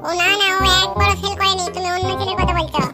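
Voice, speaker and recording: A woman's voice: very high voice, flat delivery, quick pace. A woman voicing a stubborn, lovestruck young daughter with a pert, insistent manner in a comic cartoon. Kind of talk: amateur comic cartoon voice acting. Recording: loud jingly music behind the voice.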